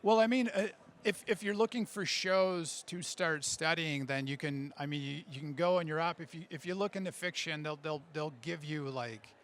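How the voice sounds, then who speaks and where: A man giving a writing workshop, answering audience questions in an animated, informal way that often stops and restarts. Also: on the high side, a little hiss or hum. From Canada. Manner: animated, informal, halting, self-interrupting. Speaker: speaker giving a writing workshop, audio fiction creator. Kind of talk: conference talk question and answer.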